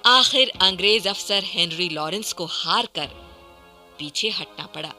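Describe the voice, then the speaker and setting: A woman's voice: lowish voice, expressive, unhurried, pushed and bright, soft background music. A woman delivering formal, dramatic scripted narration for a historical radio play in a declamatory, emphatic manner.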